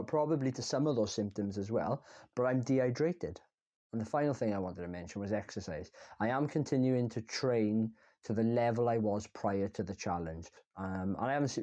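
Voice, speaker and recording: A man's voice: lowish voice, mellow, muffled recording. Candid man with a vlog diary. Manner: reflective, candid, a little self-deprecating. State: congested from a cold. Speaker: vlogger. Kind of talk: mix of scripted voice-over narration and casual diary-style talk.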